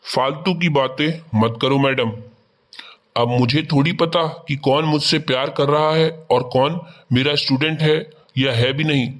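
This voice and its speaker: A man's voice: on the high side, fairly even intonation, a little slow, close to an everyday voice. A man playing a principled schoolteacher, defensive but understanding, in scripted cartoon dialogue.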